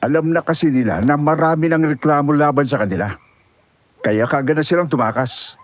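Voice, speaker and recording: A man's voice: fairly high pitch, a little fast, lightly acted. A man playing a protective, cautious old grandfather who gives earnest advice in an audio horror drama. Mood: concerned, firm. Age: older.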